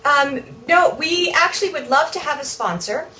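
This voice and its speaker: A woman's voice: high voice, animated, normal speed, pushed and bright, slight background noise. A woman talking casually as an interview guest, chatty and conversational, thinking aloud as she goes. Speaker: interview guest, video podcast host.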